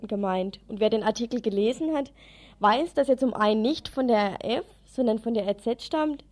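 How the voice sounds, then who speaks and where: Higher-voiced woman presenting radio news. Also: a little mellow. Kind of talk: live community radio news presentation, partly read out.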